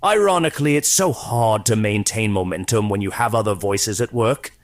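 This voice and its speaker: A man's voice: fairly low pitch, natural voice. A man playing a mocking, megalomaniac villainous count in a campy radio serial with theatrical delivery. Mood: smug gloating. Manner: theatrical, taunting, drawn-out. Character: gloating male supervillain count, mocking and megalomaniac.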